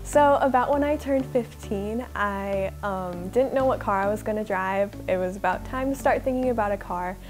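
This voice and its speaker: A woman's voice: medium pitch, normal speed, hardly any pauses, darkish tone, faint music behind. A sixteen-year-old American girl from Ohio telling her story casually and hesitantly in an interview. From Ohio, United States. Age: teenager, 16.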